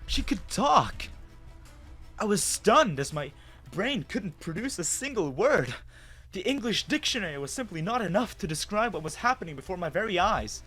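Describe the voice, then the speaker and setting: A man's voice: high-pitched, very animated, moderate pace, a bit bright, slightly rough, faint music behind, exaggerated voice. A man playing a bewildered human with lost memory, narrating his own story in a dramatic, hesitant, wondering way. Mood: stunned, observant.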